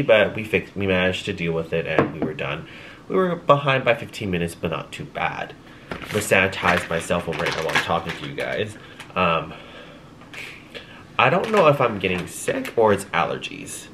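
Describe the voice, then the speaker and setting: Deep-voiced tired young man vlogging. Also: fairly bright tone, a little hiss or hum. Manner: rambling, chatty, with light laughs and many ums. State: congested, tired. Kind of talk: casual chat to camera. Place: small home room at night.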